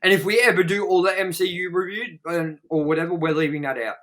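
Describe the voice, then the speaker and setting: A man's voice: sits high, steady tone, fast, fairly bright tone. A man chatting casually as co-host of a film review show, blunt and sweary, repeating words for emphasis.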